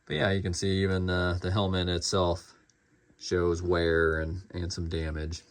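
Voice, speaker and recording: A man's voice: sits low, little pitch movement, unhurried. A man giving a casual, informal collector's product review, speaking hesitantly as he trails off and circles back over his points.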